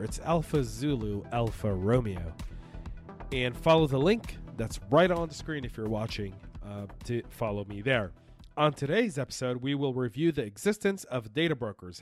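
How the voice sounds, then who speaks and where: Podcast guy, kinda hoarse from being sick, emphatic and opinionated, dry close sound. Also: medium pitch, no room sound. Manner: emphatic, argumentative. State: hoarse from illness. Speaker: podcast host.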